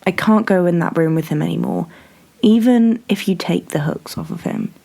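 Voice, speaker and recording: A woman's voice: fairly low pitch, moderate pace, gravelly, mellow. A woman performing a dramatic monologue as a nervous, aggrieved prison staffer, hesitant and forever justifying herself. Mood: scared, evasive. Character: nervous, aggrieved prison staffer complaining about a frightening inmate.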